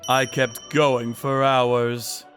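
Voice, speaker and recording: A man tells the story in a slow, cold, detached way. His pitch sits in the middle of the range, and faint music plays under his voice. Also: flat delivery, a bit bright. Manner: cold, sadistic, unhurried. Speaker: narrator and torturer character. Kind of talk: manga dub narration.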